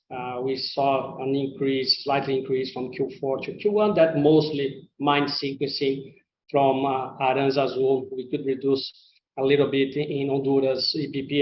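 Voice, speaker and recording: Halting male executive on a roomy conference call. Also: mid-range voice. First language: not English. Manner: halting, hesitant delivery with repeated words. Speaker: company executive presenting results. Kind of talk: semi-scripted earnings call presentation to investors. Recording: roomy, muffled conference-call audio with faint noise.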